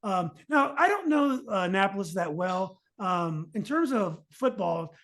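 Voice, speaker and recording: A man's voice: high voice, very animated, measured pace, fairly bright tone. A man hosting a podcast interview, asking long, rambling questions full of fillers and restarts. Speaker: podcast host.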